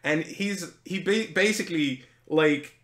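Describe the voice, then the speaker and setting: A man's voice: on the high side, a little slow, fairly bright tone, roomy sound. A man from Lithuania, a native Lithuanian speaker, co-hosting a casual livestream chat and telling rambling, self-deprecating stories. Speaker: co-host.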